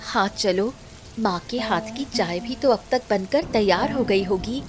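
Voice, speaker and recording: A woman playing a gentle, caring, cheerful family woman in a cartoon story, sweet in manner. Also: mid-range voice, unhurried, music in the background, close to an everyday voice.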